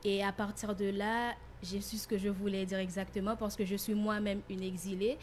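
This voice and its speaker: A woman's voice: medium pitch, little pitch movement, moderate pace, slight background noise. A young woman from Haiti, a student guest speaking earnestly and personally in a radio interview.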